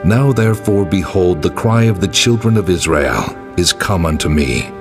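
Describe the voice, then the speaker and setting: A man playing a grave, commanding God in a dramatized scripture reading, speaking in a solemn, measured, declamatory way. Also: low voice, expressive, music in the background.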